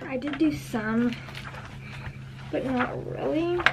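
A chatty teenage girl talks to her camera while cleaning her bedroom, her voice a touch high. She rambles, circling back on herself with plenty of fillers. The home recording has a clear hiss of noise behind it. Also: slow. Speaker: vlogger. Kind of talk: casual vlog chat. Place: bedroom, indoors. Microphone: handheld camera at arm's length.

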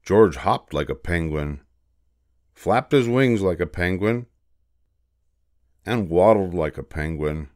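An older man narrates a children's storybook in a low, deep voice. He takes his time, reading expressively and with measured care. The sound is close and dry. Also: animated, darkish tone, dry recording.

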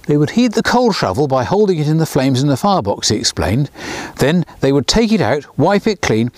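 A man in his sixties, a children's author, reading his own storybook aloud outdoors beside a small railway, lifting his voice for the characters. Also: no room sound. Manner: lively storyteller, lifts pitch for characters' lines.

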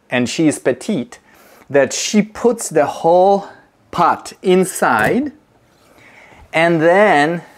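A middle-aged man, teaching slowly and deliberately while he demonstrates in a home kitchen. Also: mid-range voice. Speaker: movement teacher. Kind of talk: instructional demonstration.

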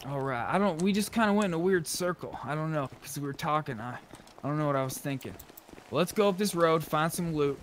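Man, fairly high voice, improvised gaming role-play chat, conversational, faint background noise. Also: a little fast.

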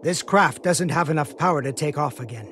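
An actor playing a solemn, soft-spoken mage burdened by regret, with formal, measured speech in an animated game cutscene. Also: male voice, fairly high pitch, slight background noise, natural voice. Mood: grave, explaining. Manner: formal, measured, articulate wording. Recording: dramatic music under the voice.

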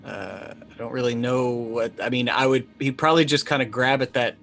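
A man's voice: mid-range voice, fairly even intonation, normal speed, a bit bright. A middle-aged man playing a tabletop roleplaying game, chatting casually and wryly, often restarting his sentences. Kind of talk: casual, improvised game chat. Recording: faint background noise and ambience.

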